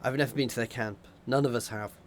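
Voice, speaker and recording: A man with a slightly hoarse voice reading aloud the lines of a desperate male peasant rebel prisoner, in a hushed, pleading way. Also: medium pitch, fairly even intonation, fast talker, a little hiss or hum, lightly acted.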